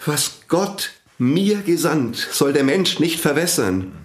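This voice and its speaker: A man's voice: big pitch swings, slow pace. An actor playing a domineering priest who preaches about the language he invented, pompous and zealous. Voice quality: pompous, preaching, sweeping pitch. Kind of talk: radio play acting. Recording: echoey studio radio play.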